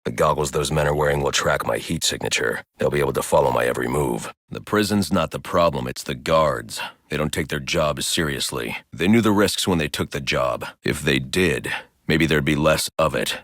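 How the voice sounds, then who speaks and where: An actor voicing a grim, brooding masked vigilante detective in a video game, speaking in a terse, stern, deadpan way. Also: male voice, a bass voice, moderate pace, no echo, studio quality. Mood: stern, focused. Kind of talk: scripted video game voice lines.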